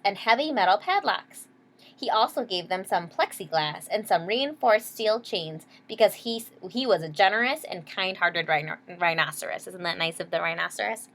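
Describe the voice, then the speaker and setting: A woman reading a children's picture book aloud expressively, pausing to explain words and ask young listeners questions. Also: mid-range voice, a bit bright. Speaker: read-aloud storyteller. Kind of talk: expressive children's story narration.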